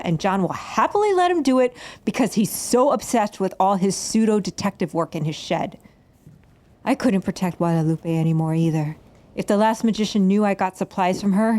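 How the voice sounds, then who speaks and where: A woman's voice: medium pitch, expressive, fairly quick, lightly acted. A woman playing an embittered, defiant stage magician in an audio drama, narrating her own story with dramatic intensity. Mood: tense, brooding. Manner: dramatic, swings from hushed narration to angry outbursts. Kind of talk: audio drama acting with first-person narration.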